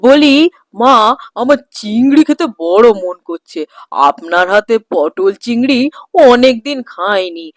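A man's voice: very high voice, lively intonation, a little slow, no room sound, studio quality. A man doing a gluttonous, swooping cartoon son-in-law. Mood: delighted, craving. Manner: coaxing, food-obsessed chatter. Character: gluttonous young son-in-law, wheedling, always craving food. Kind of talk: Bengali cartoon folk-tale dialogue.

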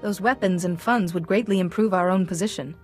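An actress playing a calm, principled young Jedi in a video game, measured and earnest. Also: female voice, mid-range voice, close to an everyday voice. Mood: firm, measured. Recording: music playing behind the voice.

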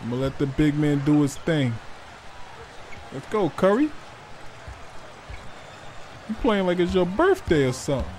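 A man's voice: a little high, big pitch swings, darkish tone. A man role-playing a mic'd-up basketball player, calling out short hyped commands to his teammates over in-game chatter. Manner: hyped, urging teammates with quick short calls. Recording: noisy game audio underneath.

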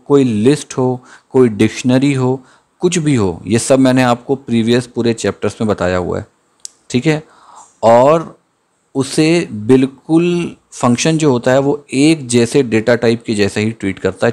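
A man giving a screen-recorded coding lesson, thinking aloud as he types and slowing down to read out the code. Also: mid-range voice, darkish tone. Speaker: programming tutor. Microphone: voice-over on screen capture.

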